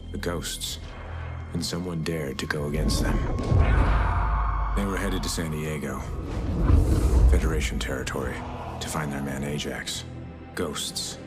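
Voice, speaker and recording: An actor playing an earnest young soldier, narrating a military game story in a solemn, measured way. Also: male voice, very deep voice, fairly even intonation. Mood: solemn, brooding. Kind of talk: scripted dramatic game narration and dialogue. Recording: loud music behind.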